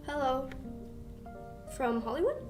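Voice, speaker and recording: A young actress playing a breezy nightclub performer in a 1940s-style radio play. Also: female voice, high-pitched, sing-song, slow, a little mellow, full character voice. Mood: coy. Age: young adult. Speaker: high school actor. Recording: distant from mic, music behind.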